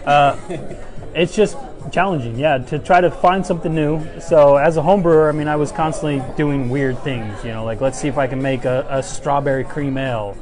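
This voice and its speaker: Brewer guy in his 30s chatting casually, slightly high voice, music and crowd noise behind. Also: normal speed. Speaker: head brewer, guest. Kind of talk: casual chat, explaining craft. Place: busy brewery taproom, indoors.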